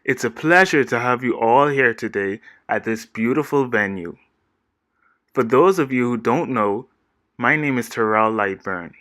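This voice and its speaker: A man reads a prepared self-introduction at an earnest, even pace. His voice is bright and forward, and the recording is dry and close with no room sound. He works through long lists steadily. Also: medium pitch, a little slow, no room sound. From Bahamas. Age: 20s. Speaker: game designer pitching himself. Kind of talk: read-aloud scripted presentation.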